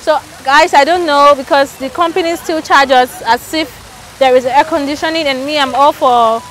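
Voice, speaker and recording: A woman's voice: high-pitched, moderate pace, a bit bright, poor audio. A Nigerian woman with a Nigerian accent, chatting candidly and with animation as an interviewee. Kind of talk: casual outdoor interview.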